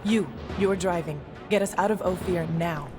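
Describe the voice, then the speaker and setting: A woman's voice: lowish voice, moderate pace, music in the background, lightly acted. An actress playing a brash, worldly woman guide in a sci-fi video game, speaking bluntly and with assurance. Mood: urgent, decisive.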